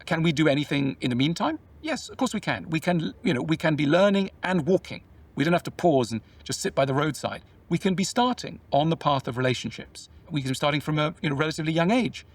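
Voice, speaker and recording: A man with a somewhat high voice talks in a measured, explanatory way, often turning questions back on the listener. His voice sits a little away from the microphone, so it sounds slightly distant. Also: animated. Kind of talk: reflective conversational talk.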